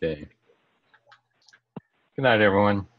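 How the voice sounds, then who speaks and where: A man speaking haltingly and casually as the club recorder in a video call meeting. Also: on the low side, lively intonation, no room sound. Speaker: club recorder taking minutes. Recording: dull video call with other voices.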